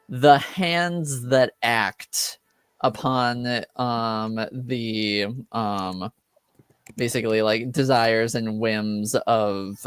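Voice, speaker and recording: Male game master, slow and hesitant, clean resonant tone, music underneath. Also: medium pitch, clear, resonant tone. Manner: hesitant, halting delivery. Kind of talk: explaining rules and lore in a tabletop roleplaying game. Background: music behind the voice.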